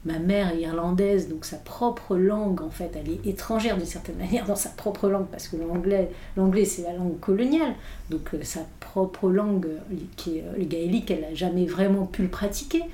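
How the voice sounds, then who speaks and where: A woman's voice: lowish voice, moderate pace, warm tone, a little hiss or hum. A woman whose first language is English, a philosopher speaking as a guest in an interview, thoughtful and full of hesitations and restarts. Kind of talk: reflective interview talk. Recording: roomy echo.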